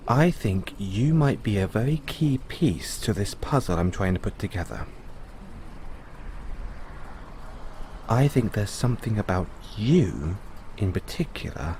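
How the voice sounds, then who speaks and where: A man playing a thoughtful, kindly owner of an android in an audio roleplay, musing and explaining things to the listener in a measured way. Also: fairly low pitch, a little mellow, slight background noise, heavily acted. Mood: casual, slightly secretive.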